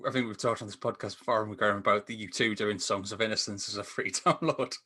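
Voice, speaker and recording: A man on a podcast video call casually discussing films in a halting, enthusiastic way. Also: fairly low pitch, steady tone, pushed and bright. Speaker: podcast reviewer.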